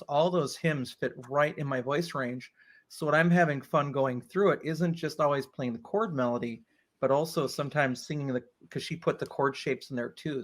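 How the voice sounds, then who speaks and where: Male tenor host, chatty, mid-pitched, thinks aloud. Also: fairly bright tone. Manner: chatty, thinks aloud with likes and you-knows. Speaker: podcast host. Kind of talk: casual interview chat.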